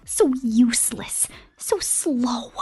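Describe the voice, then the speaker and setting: A woman acts out a sadistic female killer drone who treats her listener as a toy. Her voice sits a little high and is husky with breath, often sinking into a whisper. She draws out her threats with possessive, mocking menace, in the intimate style of an ASMR roleplay. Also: animated, dark tone, heavily acted. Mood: contemptuous, possessive. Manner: menacing, taunting, drawn-out dramatic delivery.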